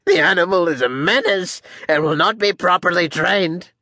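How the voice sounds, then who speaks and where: A man's voice: high voice, moderate pace, bright, forceful tone, no echo, clean studio sound, voice pushed up. A man voicing a servile, resentful elephant captain pleading with his superior, in an audiobook style. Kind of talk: audiobook character acting.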